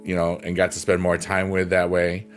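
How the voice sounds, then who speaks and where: A man with a deep voice hosting a casual livestream, chatting in a rambling, unhurried way with plenty of fillers and reading viewer comments aloud. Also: completely flat delivery, over music. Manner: rambling, unhurried, many fillers like "you know" and "um". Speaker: livestream host.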